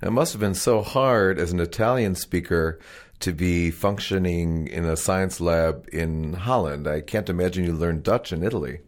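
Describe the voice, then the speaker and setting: A man's voice: deep voice, normal speed. A man interviewing a doctor, asking curious, encouraging questions with some hesitant fillers.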